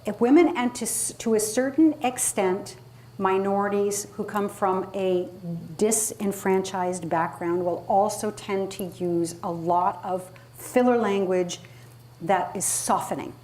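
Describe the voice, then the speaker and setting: A woman's voice: mid-range voice, unhurried, dark tone. A woman leading an interactive workshop as a public speaking coach, talking emphatically and conversationally and putting questions to her audience. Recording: live room recording with clear background noise.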